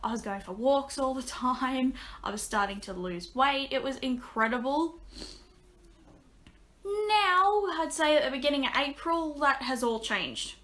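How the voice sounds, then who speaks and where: Chatty young woman with a bright voice. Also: high-pitched, animated, a little slow. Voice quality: slightly raspy. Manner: chatty, many ums and restarts. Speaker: vlogger. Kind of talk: casual vlog update. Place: small bedroom, indoors. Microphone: webcam at desk.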